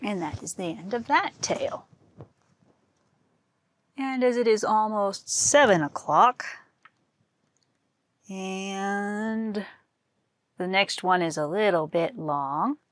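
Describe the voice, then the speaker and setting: A woman storyteller reading a folktale aloud with expressive narration, as for a library audience. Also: mid-range voice, slow, pauses often.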